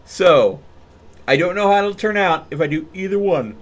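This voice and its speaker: A man's voice: sits high, expressive, moderate pace. A man live-streaming a game, chatting casually with viewers and thinking aloud.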